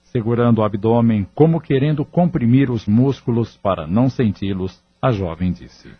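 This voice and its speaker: A man's voice: medium pitch, lively intonation, moderate pace, husky, no room sound, muffled recording. A man narrating a scripted audio drama.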